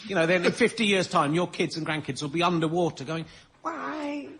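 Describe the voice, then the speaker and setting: A man's voice: high-pitched, expressive, brisk, heavy background noise. A man rambling comically as a panel show guest, hesitant and self-mocking, restarting his sentences mid-thought.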